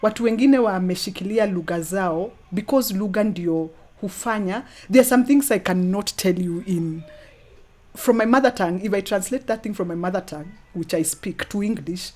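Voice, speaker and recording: A woman's voice: mid-range voice, normal speed, warm tone. A woman with a Kenyan accent talking conversationally as an interview guest, in an earnest, encouraging manner. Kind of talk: conversational talk, mixing Swahili and English.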